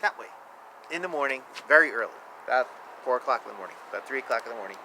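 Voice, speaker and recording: A man reading children's books aloud outdoors, with a drawn-out, sing-song delivery and casual asides. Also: medium pitch, animated, pushed and bright, poor audio. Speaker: children's book reader. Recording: outdoor recording with clear ambient noise. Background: outdoor ambience.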